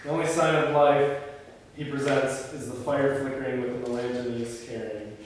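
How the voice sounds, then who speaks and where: A man's voice: medium pitch, steady tone, normal speed. A man leading a script table read, reading stage directions aloud and talking casually. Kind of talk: scripted narration of screenplay, with casual discussion. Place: reverberant room at a group table read. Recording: echoey hall, distant mic, faint noise.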